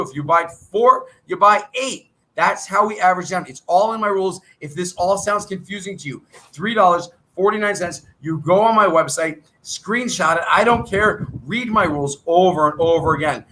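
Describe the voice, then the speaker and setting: A middle-aged man with a high, bright, edgy voice runs a live trading chat. He rattles through numbers in a brisk, salesy patter, thinking out loud as he goes. His voice is wearing thin, as if he is losing it. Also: poor audio. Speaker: trading coach.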